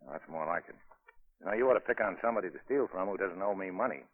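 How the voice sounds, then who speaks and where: An actor playing a tough, cynical, wisecracking American boat captain with a dry, clipped manner in 1940s radio acting. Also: male voice, low voice, lively intonation, racing. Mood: sardonic, demanding. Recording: tinny 1940s radio recording.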